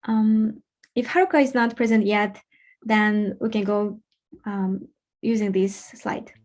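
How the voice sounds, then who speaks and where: A young woman from Kazakhstan hosting an informal online event, speaking haltingly with pauses mid-sentence. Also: mid-range voice, steady tone, a little mellow. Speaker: event host and facilitator. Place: online video call.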